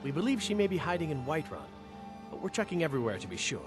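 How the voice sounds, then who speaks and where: A man's voice: on the high side, sing-song, very fast, soft background music. A man playing a stern, brusque desert warrior hunting a fugitive in a fantasy video game, commanding and impatient. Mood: guarded.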